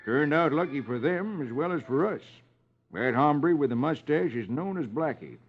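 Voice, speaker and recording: An actor playing a plain, friendly cowboy horse hand with an American Western accent in a 1950s radio drama. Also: male voice, a little high, expressive, moderate pace, husky. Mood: easygoing. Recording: muffled, noisy old radio recording.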